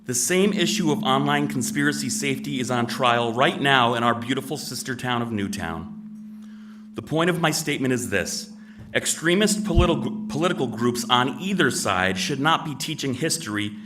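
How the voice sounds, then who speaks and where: A deep-voiced man reading an earnest, deliberate public comment at a town meeting. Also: expressive, recorded from a distance, background noise. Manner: earnest, deliberate prepared statement. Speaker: resident giving public comment. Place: town hall meeting room.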